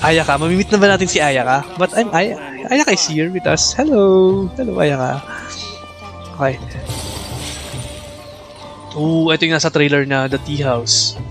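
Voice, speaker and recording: A man's voice: a little high, expressive, normal speed, pauses often. A man with a Filipino accent giving casual, chatty live game commentary and talking to viewers. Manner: chatty, reacts aloud and thanks viewers. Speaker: game livestreamer. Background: game music.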